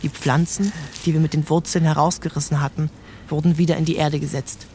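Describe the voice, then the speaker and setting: A man's voice: on the high side, level tone, brisk, dark tone, slight background noise. A man giving scripted literary narration as the narrator of an audio drama. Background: faint music.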